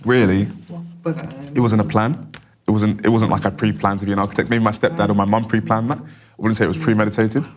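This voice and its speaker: A man interpreting for a deaf guest, speaking quickly in the first person. His voice sits fairly low, and he strings short clauses together in a steady flow. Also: crowd noise. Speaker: sign language interpreter voicing a deaf guest.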